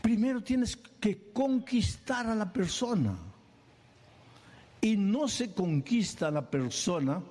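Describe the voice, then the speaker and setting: A man preaching a sermon, animated, acting out little scenes and firing off questions. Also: high-pitched, slow pace.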